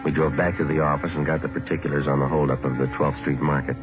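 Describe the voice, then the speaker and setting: An actor with an American accent playing a terse police detective who narrates in a clipped, deadpan way in an old radio drama. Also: male voice, a bass voice, quick pace. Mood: deadpan, factual. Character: terse, businesslike male police sergeant detective. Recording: dull old radio recording, music behind.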